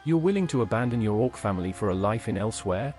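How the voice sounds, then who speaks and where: A man's voice: lowish voice, expressive, quick pace, darkish tone, faint music behind, natural voice. A man voicing a helpful, direct adventurer in a video game, speaking in a matter-of-fact way. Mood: matter-of-fact, curious. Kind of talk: video game character dialogue, AI-voiced.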